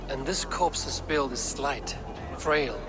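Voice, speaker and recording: An actor playing a stern, shrewd Viking warrior, speaking in a measured, blunt, probing way. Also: male voice, medium pitch, music in the background, close to an everyday voice. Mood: pondering. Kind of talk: dramatic video game acting.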